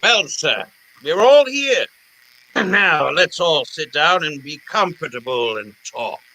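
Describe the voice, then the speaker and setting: Male actor as greedy gentleman villain, radio noir, florid speech, very bright forceful tone, widely swooping pitch. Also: big pitch swings, full character voice, rough recording. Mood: commanding courtesy. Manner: pompous, florid, courteous, deliberate. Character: genteel, wily male crime boss, pompous and greedy. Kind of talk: old time radio detective drama acting.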